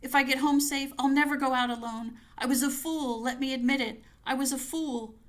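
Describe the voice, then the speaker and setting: A woman's voice: mid-range voice, expressive, unhurried, a bit bright, pitched above the performer's own voice. A woman voicing a terrified woman fleeing home at night, pleading frantically and breathlessly to herself. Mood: panicked pleading.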